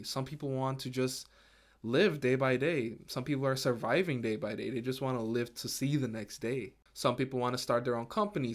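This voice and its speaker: A man in his twenties who grew up in Guyana, hosting his first solo podcast episode in an earnest, halting way, with restarts and fillers. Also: mid-range voice. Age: 20s. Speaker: podcast host. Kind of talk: casual solo podcast talk.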